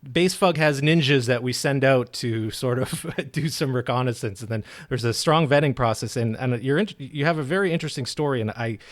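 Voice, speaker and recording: A man hosting a podcast interview, introducing his guest with halting restarts. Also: medium pitch, dry recording, clean studio sound. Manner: halting, restarts, warm lead-in questions. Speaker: podcast host.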